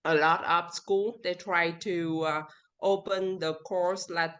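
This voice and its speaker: A woman with a Vietnamese accent speaking English as a second language, answering interview questions haltingly and searching for words. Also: lowish voice, fairly even intonation, a bit bright. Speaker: interview guest. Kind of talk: casual interview.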